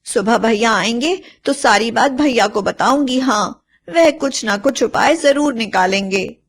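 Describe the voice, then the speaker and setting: A woman's voice: on the high side, expressive, unhurried. A woman voicing a protective, devoted sister and young mother in a children's story, speaking earnestly and with emphasis. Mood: relieved. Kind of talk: dramatised children's story voice acting.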